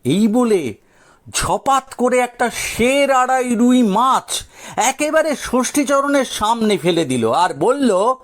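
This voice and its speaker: A man narrating a scripted audio story dramatically, with theatrical, suspenseful pauses. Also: very high voice, animated.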